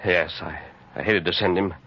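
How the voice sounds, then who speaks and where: An actor playing a steady, earnest, authoritative cowboy hero in an old-time radio western. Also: male voice, sits low, normal speed, lightly acted. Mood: grave, commanding. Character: steady, commanding heroic masked cowboy lawman. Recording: muffled, noisy old radio recording.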